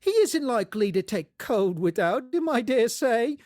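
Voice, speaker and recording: A shrill, callous thief of a woman, as a male reader acts her out in a dramatised storybook. She is scornful and emphatic, and her high voice swoops about with gloating force. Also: big pitch swings, normal speed, darkish tone, dry recording, clean studio sound. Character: brazen, callous thieving woman selling stolen goods.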